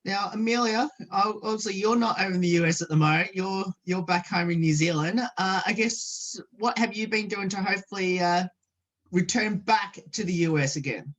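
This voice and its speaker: A radio sports host introduces guests and asks questions. The delivery is rambling and hesitant, full of repeated stock phrases. The voice sits at a middling pitch. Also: normal speed, fairly bright tone. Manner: rambling, keeps repeating "of course" and "obviously". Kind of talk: radio interview hosting.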